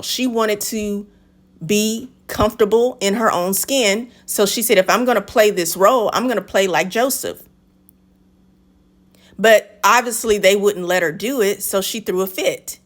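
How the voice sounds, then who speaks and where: A woman hosting a reaction video, commenting on a clip in an emphatic, lecturing way and addressing the guest directly. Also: mid-range voice.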